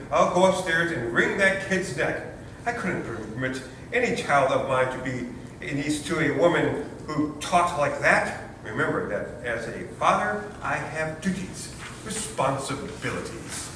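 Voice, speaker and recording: Casting: male actor as self-pitying, pompous young father for stage farce, slightly high voice; very echoey recording. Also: fairly bright tone. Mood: irritated. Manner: pompous, theatrically florid. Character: anxious new father, indignant, melodramatic, self-pitying Englishman. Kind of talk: stage comedy readers theater. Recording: roomy, distant, noisy hall recording with rumble.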